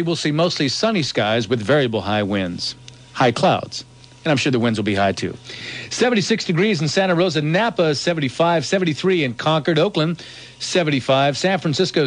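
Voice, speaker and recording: American male radio news anchor. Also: a little high. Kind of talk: live news reading with weather and traffic updates.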